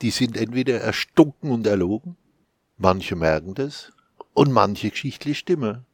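A man with a Franconian accent, co-author of a quiz book, chatting casually and reading quiz questions with lively emphasis. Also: lowish voice, normal speed, husky, no echo, studio quality. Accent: Franconian German. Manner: animated, laughs, whispers asides. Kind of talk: casual chat.

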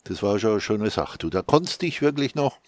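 A man with a Franconian accent, co-author of a quiz book, chatting casually and reading quiz questions with lively emphasis. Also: on the low side, brisk, no echo. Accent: Franconian German. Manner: animated, laughs, whispers asides. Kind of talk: casual chat.

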